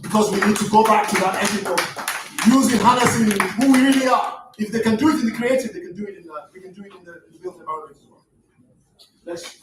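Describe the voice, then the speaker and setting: A middle-aged Nigerian man, a native Yoruba speaker, giving a lecture. He speaks with passion, rises to near shouts and stammers on his restarts. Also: sits high, quick pace, a crowd in the background. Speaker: guest lecturer. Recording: echoey room, distant mic, faint noise.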